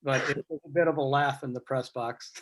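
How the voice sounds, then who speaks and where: A man's voice: medium pitch, fairly even intonation, brisk. A man speaking casually and reflectively on a video call, as a sports writer would on a panel. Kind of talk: casual video-meeting talk.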